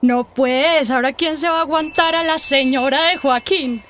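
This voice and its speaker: A woman's voice: sits high, normal speed, over music, close to an everyday voice. A Colombian woman playing a nosy, teasing teenage schoolgirl in a radio soap. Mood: teasing, astonished.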